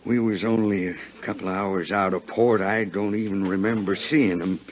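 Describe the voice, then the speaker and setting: An actor playing a frightened, hard-drinking seaman with rough, uneducated sailor's speech in a 1950s American radio drama. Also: fairly low pitch, fairly even intonation, moderate pace, close to an everyday voice. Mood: confiding, uneasy. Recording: muffled 1950s radio recording.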